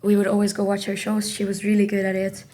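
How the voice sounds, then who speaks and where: A woman's voice: mid-range voice, completely flat delivery, fast talker, dark tone. A woman from Finland chatting as a podcast guest, rambling with lots of fillers and restarts. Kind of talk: casual chat.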